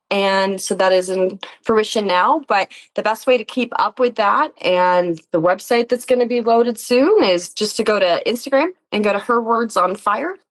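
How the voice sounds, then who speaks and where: A woman chatting casually and reflectively as an interview guest, with an earnest, expressive manner. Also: medium pitch, poor audio. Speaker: interview guest, actor and musician.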